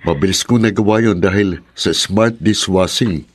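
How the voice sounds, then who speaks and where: An actor playing a devoted, earnest young man in love in a radio drama, speaking gently. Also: deep voice, moderate pace, slightly rough. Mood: earnest, concerned. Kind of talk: Tagalog radio drama acting.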